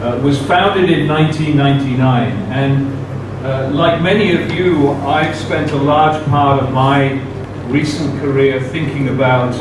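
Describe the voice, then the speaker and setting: Brief: man, slow measured conference speaker, heard from a distance in a very echoey room. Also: medium pitch, fairly even intonation. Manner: measured, careful delivery with frequent "uh" fillers. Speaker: guest speaker at a university seminar. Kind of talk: formal conference talk. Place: university seminar room. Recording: very echoey room, distant mic, background noise.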